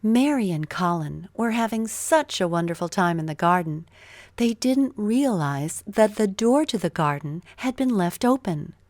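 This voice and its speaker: A woman narrating a scripted children's story in an expressive, deliberate storytelling manner. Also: mid-range voice, no room sound.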